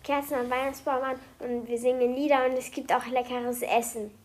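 A friendly and curious child, acted by a woman in a children's audio play. The voice is notably high, with careful, scripted delivery. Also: level tone, moderate pace, a little mellow, natural voice. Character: friendly German child curious about festivals.

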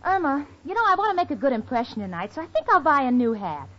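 A woman's voice: high voice, very animated, fast talker. An American actress playing a sensible, wry young woman with a sarcastic manner in a 1940s radio comedy. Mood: brisk. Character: wisecracking, sensible young female roommate, wry. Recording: dull noisy old radio, audience behind.

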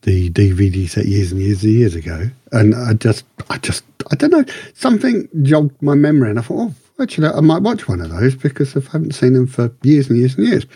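A man's voice: mid-range voice, very animated, a little fast, few pauses, dark tone, breathy edge, dry recording. A man co-hosting a podcast, chatting casually and rambling a little, repeating words for emphasis. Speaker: podcast co-host. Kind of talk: casual review chat.

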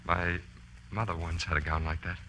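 Sinister deep-voiced gentleman. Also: level tone, moderate pace. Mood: brooding, menacing. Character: sinister, courteous male suitor with menacing intent. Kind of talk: old-time radio thriller acting. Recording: faint noise on old radio recording.

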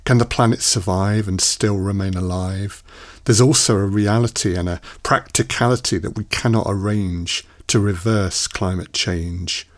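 A man reading rhyming poetry aloud, measured and emphatic on the rhymes. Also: fairly low pitch, fairly even intonation, dry recording.

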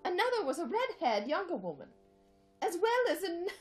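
A woman's voice: very high-pitched, wildly swooping pitch, normal speed, soft background music, voice pushed up, full character voice. A woman putting on the voice of a prim elderly British lady to read a story aloud, with a put-on British accent and a theatrical manner. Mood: storytelling. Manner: theatrical, giggling.

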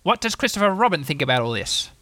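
A man voicing a self-important, officious rabbit in a storybook, brisk, pompous and fond of taking charge. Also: a little high, sing-song, sharp tone, no echo, voice pushed up. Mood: bossy, flustered.

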